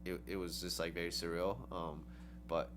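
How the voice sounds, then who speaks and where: A woman's voice: rumbling low, flat delivery, moderate pace, background noise. A young woman dancer answering interview questions in a rambling way, full of "like" and "um", with giggly laughs. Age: young adult.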